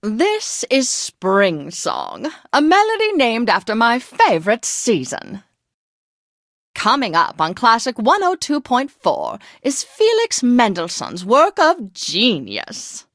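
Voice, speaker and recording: An actress playing a posh, flamboyant, tipsy classical radio DJ in a video game, with a gushing, affected, genteel manner. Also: female voice, on the high side, wildly swooping pitch, slow, a bit bright, no room sound, clean studio sound, exaggerated voice. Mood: delighted, refined. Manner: theatrical, affected, genteel and effusive.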